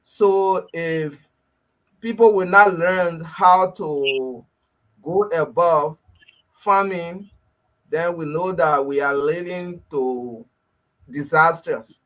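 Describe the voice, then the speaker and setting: A man from Liberia, a guest on a radio talk show, speaking earnestly in short, measured phrases. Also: a little high, fairly bright tone, poor audio.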